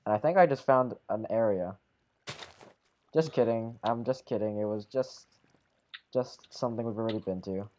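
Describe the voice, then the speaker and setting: A man's voice: on the low side, a little mellow, slight background noise. A man playing a video game with friends, rambling and correcting himself as he talks. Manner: rambling, self-correcting, quick exclamations. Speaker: game player. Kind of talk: casual gaming chat.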